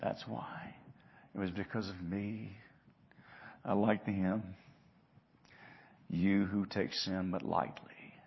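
A man preaching an expository sermon in an earnest, emphatic manner, moving between hushed and loud delivery with rhetorical questions and repeated phrases. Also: low-pitched, level tone, slow, gravelly, long pauses, muffled recording, a little hiss or hum.

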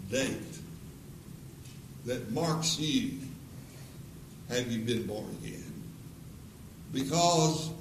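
An elderly man in his eighties preaches slowly and earnestly, pressing the congregation with the same question again and again. His voice carries from a distance through a roomy auditorium, with a steady hiss of background noise. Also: on the high side. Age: 80s. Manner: slow, earnest, repeated pleading questions. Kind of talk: closing sermon with altar call. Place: church auditorium.

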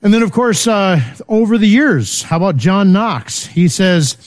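A man's voice: high-pitched, expressive, unhurried. A man teaching a Bible study in a loose, conversational way, full of fillers and checking in with his listeners. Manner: informal, many fillers, checks in with "okay?".

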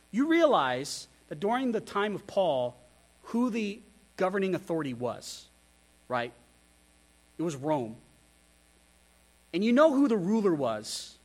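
A man preaching a sermon, candid and self-deprecating, who asks his listeners rhetorical questions. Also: high-pitched. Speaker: pastor.

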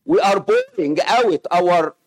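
A middle-aged man from Egypt, Arabic-speaking, with an Egyptian accent, preaching and praying in halting English with many pauses and fillers. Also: high voice, wildly swooping pitch, vocal fry. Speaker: missionary preacher. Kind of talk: sermon with scripture reading and prayer.

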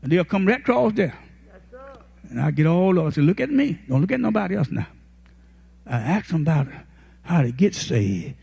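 Elderly male pastor, husky voice, high-pitched, sweeping lively delivery, fervent folksy preaching. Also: moderate pace, long pauses. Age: 80s. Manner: impassioned, folksy preaching with shouted peaks. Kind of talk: sermon.

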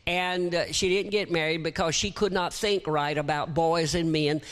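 An older man with a shrill tenor voice preaching a sermon in a church, reading scripture and adding folksy asides. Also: steady tone, normal speed, a bit bright. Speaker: guest preacher.

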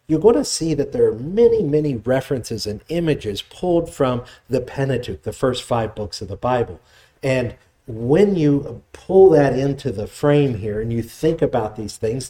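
Mellow-voiced man preaching a sermon. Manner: measured expository preaching, voice drops low in prayer. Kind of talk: sermon with scripture reading and prayer.

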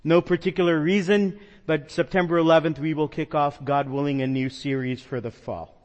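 A man's voice: fairly high pitch, fairly even intonation, normal speed, in a large hall, highs cut off. A man from the New York metro area preaching a sermon as a pastor, earnest and conversational with some fillers. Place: church sanctuary.

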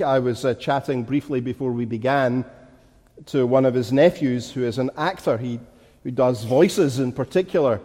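A man's voice: medium pitch, a little mellow. A man with a Glaswegian Scottish accent preaching a sermon in an earnest, rhetorical manner, leaning on emphatic repetition. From Glasgow, Scotland. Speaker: pastor. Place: large echoing church or hall. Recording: reverberant hall.

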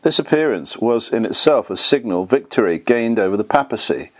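Slightly hoarse man reading history aloud. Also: on the low side. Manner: steady, measured reading. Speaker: reader.

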